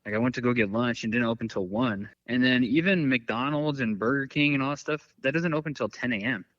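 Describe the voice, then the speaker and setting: A man's voice: medium pitch, brisk, a bit bright, no room sound. Man rambling in dull, dry lo-fi audio. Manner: rambling, filler-heavy storytelling. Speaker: podcast guest. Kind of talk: casual chat.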